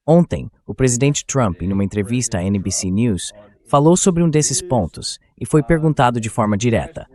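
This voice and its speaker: A man's voice: mid-range voice, lively intonation, moderate pace, warm tone. A man hosting a commentary show, speaking in a translated voice-over political commentary style.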